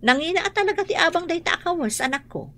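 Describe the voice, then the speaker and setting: Actress as vain, bright-voiced showy woman. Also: extremely high pitch, lively intonation, fast talker, exaggerated voice. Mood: proud, delighted. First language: Ilocano. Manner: boastful, haughty. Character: vain, showy young mother boasting of her beauty. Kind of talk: Ilocano radio drama acting.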